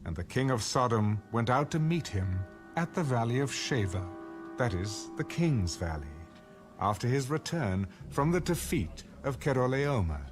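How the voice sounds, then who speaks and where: A man's voice: medium pitch, very animated, moderate pace. A man reading scripture as a scripted, dramatized audio Bible narration. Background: background music.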